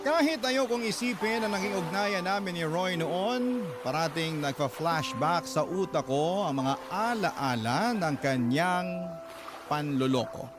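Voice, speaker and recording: A man's voice: sits high, expressive, a little slow. A man narrating as a betrayed young mother. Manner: confessional, earnest. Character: heartbroken young mother recounting her story. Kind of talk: radio drama letter narration. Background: music.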